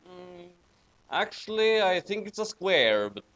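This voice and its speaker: A man's voice: high voice, big pitch swings, unhurried, fairly bright tone, highs cut off. A man from Norway hosting a casual commentary on fan fiction, talking in a hesitant, rambling way. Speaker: host of a commentary reading.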